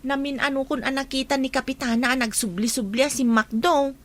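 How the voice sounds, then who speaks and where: A woman's voice: sits high, steady tone, fairly quick, natural voice. An actress with an Ilocano Filipino accent playing a gossipy, playful village woman in a radio comedy drama. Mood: curious.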